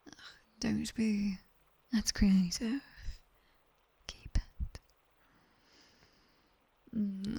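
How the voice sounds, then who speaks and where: A seductive British demoness tutor, played by a woman, whispers very slowly into a close binaural mic. Her breathy voice has a faint crackle of fry and a soft, dark edge. She instructs in a commanding, teasing ASMR style, like a sharp-tongued teacher of nightmares. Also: medium pitch, full character voice. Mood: teasing, approving. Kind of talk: whispered ASMR roleplay, guided body scan. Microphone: close binaural microphone.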